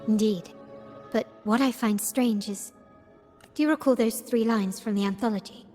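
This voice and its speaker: A woman playing a composed, analytical young heiress in a video game, speaking in a measured, formal way. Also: fairly high pitch, mellow, big-room sound, soft background music, close to an everyday voice. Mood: pondering. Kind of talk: scripted game dialogue.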